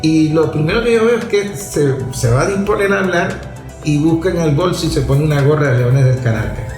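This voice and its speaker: Venezuelan male radio host, emphatic and teasing, fairly high voice; echoey studio, faint music behind. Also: a little fast. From Venezuela, Zulia. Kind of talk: radio talk and interview.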